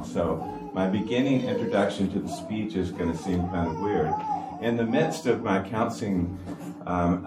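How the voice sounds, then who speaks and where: A man, giving an informal talk to a church congregation in a flat, even-toned delivery. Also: low-pitched. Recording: echoey hall from far off with noise.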